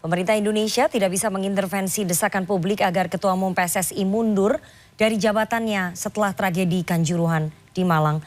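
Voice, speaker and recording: A woman reading scripted news as a television anchor. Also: mid-range voice.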